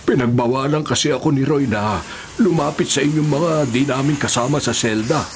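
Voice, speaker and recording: A man's voice: mid-range voice, a little fast, close to an everyday voice. An actor playing an elderly, pious male prisoner who preaches earnestly and meekly in a radio drama. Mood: humble, earnest. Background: music.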